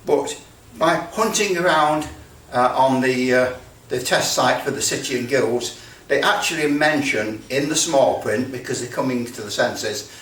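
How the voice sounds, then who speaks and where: A man's voice: medium pitch, unhurried, a bit bright. British man, roomy distant recording. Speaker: presenter demonstrating electrical testing. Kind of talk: casual hands-on demonstration. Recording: roomy, distant mic with background noise.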